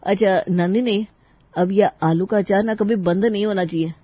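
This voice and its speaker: A woman's voice: mid-range voice, moderate pace, dry recording, natural voice. A woman playing a cheerful young husband. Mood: delighted. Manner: enthusiastic, praising. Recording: dry, slightly muffled studio voice.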